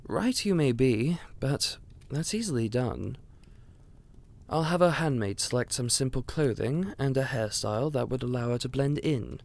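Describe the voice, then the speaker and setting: A man playing a devoted, courteous royal steward in a fantasy audio roleplay, speaking formally, politely and in a measured way. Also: medium pitch, expressive, creaky, a little mellow. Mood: courteous, assured.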